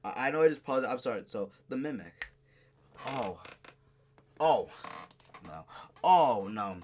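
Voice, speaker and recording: A man doing live reaction commentary in casual slang, excitable and prone to repeating his exclamations. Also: medium pitch, a little slow, a little hiss or hum. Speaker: streamer reacting to a horror video.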